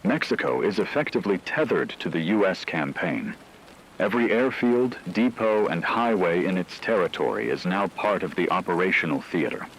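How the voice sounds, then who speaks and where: A man playing a grave radio news anchor, delivering a scripted emergency war broadcast in a measured, solemn, analytical manner. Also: deep voice, lively intonation, a little hiss or hum, poor audio. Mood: grave, urgent.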